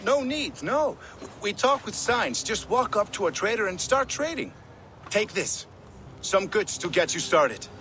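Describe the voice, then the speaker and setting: Eccentric Norse outcast, higher-pitched, very animated, sing-song, repetitive, eager, video game character, ambient noise behind. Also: male voice, full character voice. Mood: eager, ingratiating. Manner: repeats himself, halting, sing-song, chuckling.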